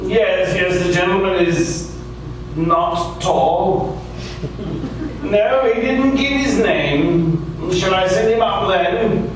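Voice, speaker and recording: Male actor as officious radio-play concierge: high voice, slow haughty formality, distant and reverberant. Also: crowd noise. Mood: polite, officious. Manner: formal, haughty, drawn-out politeness. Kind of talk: comic noir radio theater acting. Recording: echoey, distant, noisy stage recording.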